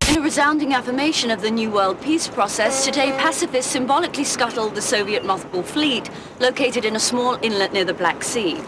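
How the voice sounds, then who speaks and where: A woman's voice: on the high side, a little fast, hardly any pauses, close to an everyday voice. A woman playing a TV news anchor, reading formal news bulletins in a game cutscene, with noise behind her. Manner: crisp, formal news delivery. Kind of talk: scripted TV newscast. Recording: noisy background behind voice.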